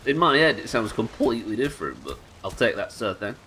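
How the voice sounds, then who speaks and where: A man with a nasal voice, a little hoarse from illness, chatting wryly and swearing casually while streaming a game live. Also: fairly low pitch, big pitch swings, unhurried, pushed and bright, a little hiss or hum, rough recording. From United Kingdom. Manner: chatty, wry, swears casually, muttering asides. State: sick, slightly hoarse.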